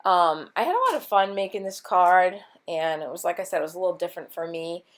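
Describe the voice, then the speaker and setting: A woman with a voice she says is going hoarse, chatting casually through a scrapbooking tutorial with lots of ums. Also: fairly low pitch, expressive, fast. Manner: rambling, chatty, many ums. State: losing her voice. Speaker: scrapbooking vlogger. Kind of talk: casual craft tutorial chat.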